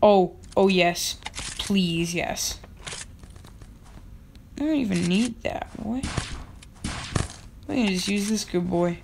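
Excitable young woman narrating gameplay. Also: medium pitch, slight background noise. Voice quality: slightly raspy. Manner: excitable, yells at other players, quick laughs. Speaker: gaming channel host. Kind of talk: casual gameplay commentary.

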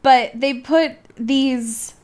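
A woman's voice: high-pitched, extremely slow, a little mellow, clean tone. A young woman co-hosting a podcast, talking casually and playfully about films in an informal, loosely hedged way. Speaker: podcast co-host. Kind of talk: casual film discussion chat.

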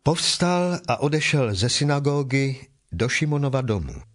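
A man narrating scripture from a script in a measured, formal manner. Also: medium pitch, sing-song.

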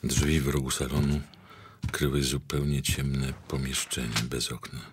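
An actor as a solemn storyteller, reading scripted narration for a brooding audio drama. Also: male voice, very deep voice, level tone, unhurried, a little hiss or hum. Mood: somber.

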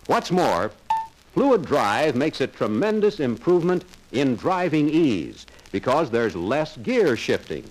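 A man's voice: mid-range voice, big pitch swings, slow, a little hiss or hum, rough recording. A man narrating a 1950s sales training film in a confident, persuasive salesman's manner.

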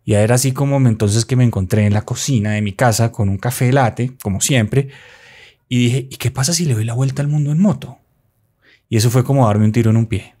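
A man in his forties from Colombia with a Bogotá accent, a podcast guest telling his story in animated storytelling chat with playful asides, recorded on a desk mic in a small studio. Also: mid-range voice, a little fast. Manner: animated, gestures while talking, playful asides. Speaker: guest, motorcycle traveler. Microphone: desk mic close by.

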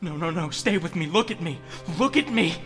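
A man's voice: fairly high pitch, lively intonation, fairly quick, a bit bright, long reverb tail, faint music behind. A man voicing a desperate rescuer, urgent and pleading, in dramatic film style.

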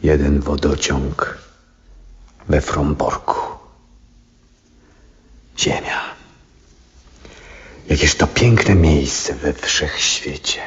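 An actor playing a weary old astronomer near death in a 1970s Polish radio drama, reflective and full of awe, pensively questioning himself in a breathy, often hushed voice. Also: male voice, a bass voice, steady tone, exaggerated voice. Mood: wistful, awed. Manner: slow, pensive soliloquy with rhetorical questions. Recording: dull, muffled 1970s radio recording.

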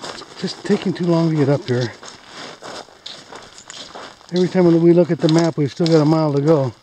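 A man's voice: on the high side, normal speed, slight background noise, poor audio. Chatty man hiking a desert canyon. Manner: relaxed, chatty, pointing things out. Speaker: mine explorer vlogger. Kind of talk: casual narration while hiking. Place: desert canyon outdoors and narrow mine tunnels.

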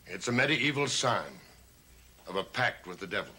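An older man playing a grave, reassuring grandfather in a gothic soap opera, speaking in a measured, theatrical way. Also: fairly low pitch, animated, sharp tone, breathy edge, heavily acted. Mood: grave confession. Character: grave, reassuring old grandfather of a cursed family. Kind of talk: 1960s gothic TV soap acting. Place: TV studio set of an old house.

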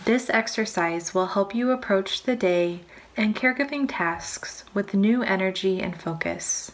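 Slow-paced woman guiding a meditation. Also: mid-range voice. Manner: calm, soothing, measured with long pauses. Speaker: guided meditation narrator. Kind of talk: scripted guided imagery meditation narration.

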